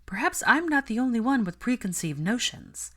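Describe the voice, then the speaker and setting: A woman voicing an assertive, articulate adult witch from a story, formal and deliberate in manner. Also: fairly high pitch, sing-song, fairly bright tone, voice pushed up. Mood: defiant, measured.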